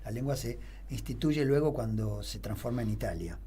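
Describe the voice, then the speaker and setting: A man's voice: medium pitch, normal speed, warm tone, slight background noise. A middle-aged man with a Rioplatense Spanish accent, a theater teacher explaining history on a radio show in a rambling way, full of fillers and restarts. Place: radio studio.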